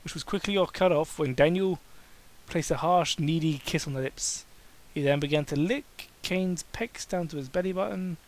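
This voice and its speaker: A man's voice: fairly high pitch, normal speed. A man giving a comic amateur dramatic reading of fan fiction, stumbling over words and breaking off to heckle the text with swearing.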